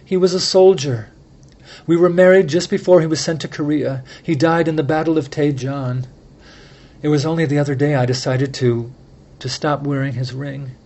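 A man's voice: a little high, steady tone, normal speed, darkish tone, highs cut off. A man voicing a tearful, faltering young woman. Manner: faltering, tremulous, stammers under pressure. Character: grieving, tearful young woman, evasive and pleading.

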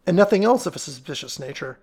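A man's voice: on the high side, expressive, fast, a little mellow, close to an everyday voice. A man playing a composed, clever amateur detective in an audiobook, speaking in an assured, incisive manner.

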